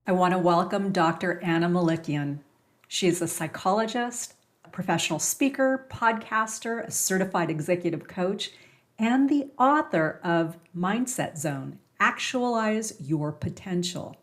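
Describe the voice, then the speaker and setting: A woman's voice: fairly low pitch, expressive, unhurried. A woman podcast host who reads a formal introduction of her guest and then asks her questions.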